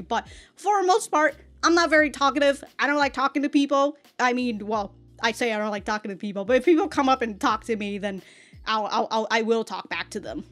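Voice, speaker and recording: A woman hosting a casual reaction video, talking in an exaggerated, rambling way with stammered repeats and frequent swearing. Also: a little high. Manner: self-described exaggerated on-camera delivery. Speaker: YouTube reaction host.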